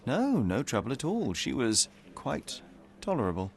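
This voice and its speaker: An actor playing a young, self-assured detective in a video game, with a dry, precise manner. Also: male voice, medium pitch, wildly swooping pitch, moderate pace, vocal fry. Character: young, clever, aloof male detective.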